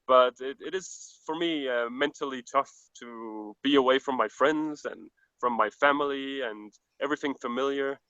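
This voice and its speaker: An earnest man with a Danish accent reflects on his travels in English, often restarting his sentences. His voice is bright and forceful, and it sounds dry and a little dull over a video call line. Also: mid-range voice, normal speed, dry recording. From Denmark. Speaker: traveler guest.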